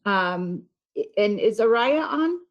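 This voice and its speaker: A middle-aged woman, a parent coach, hosting a panel on a video call, halting and trailing off softly. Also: mid-range voice, animated, highs cut off. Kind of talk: online meeting chat.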